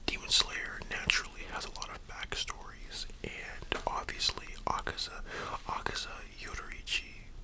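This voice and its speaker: An ASMR host speaking in a breathy whisper, chatting casually and rambling as they address the listener directly. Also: moderate pace, poor audio. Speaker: host of an ASMR discussion channel. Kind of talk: whispered ASMR chat, casual movie discussion.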